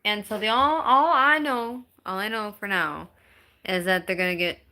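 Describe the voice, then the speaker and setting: Bright-voiced chatty woman in her thirties. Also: medium pitch. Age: 30s. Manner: chatty and halting, with many ums and restarts. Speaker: live streamer. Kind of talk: casual live-stream chat reading viewer comments.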